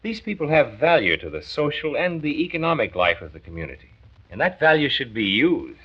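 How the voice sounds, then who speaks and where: A man's voice: mid-range voice, sing-song, a little fast, husky. An actor playing an earnest, kindly male county health doctor who explains and persuades in a 1940s radio drama. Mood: concerned, reassuring. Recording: muffled old 1940s radio recording.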